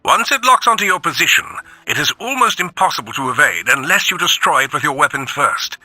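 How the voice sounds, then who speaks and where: An actor playing a brisk robot war officer giving emphatic, instructive briefings in a video game audio log. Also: male voice, fairly high pitch, sing-song, bright, forceful tone, no room sound, full character voice, poor audio. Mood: warning.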